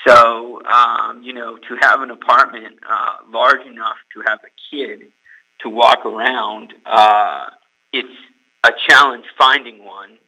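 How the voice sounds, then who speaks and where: A man's voice: medium pitch, fairly even intonation, slow pace, creaky, pushed and bright, low-quality recording. A young man from California, a guest on a podcast, who talks hesitantly and repeats words. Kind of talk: casual podcast interview.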